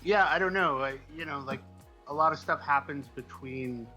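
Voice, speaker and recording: A man speaking as a guest writer in a casual interview, answering in a hesitant, halting way with trailing asides. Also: a little high, bright, forceful tone, soft background music, low-quality recording. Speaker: comic book writer, guest.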